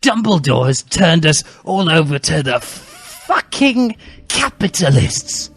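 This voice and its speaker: A man's voice: fairly high pitch, big pitch swings, gravelly, breathy edge, no room sound, voice pushed up, heavily acted. A man voicing a furious, self-righteous teenage boy revolutionary who rants indignantly, in a comic dramatic reading. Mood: outraged. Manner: ranting, indignant, drawn-out stresses. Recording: loud music behind the voice.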